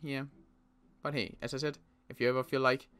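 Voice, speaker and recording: A young man playing a protective survivor in an in-character roleplay, talking in a halting way with frequent restarts. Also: medium pitch, little pitch movement, fairly bright tone, clean tone. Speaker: roleplay series player.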